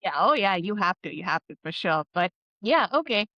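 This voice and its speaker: A woman's voice: medium pitch, sing-song, a little fast, bright, forceful tone, no echo, highs cut off. A woman co-hosting a podcast, chatting casually and thinking aloud with fillers. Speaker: podcast co-host. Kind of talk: casual conversation.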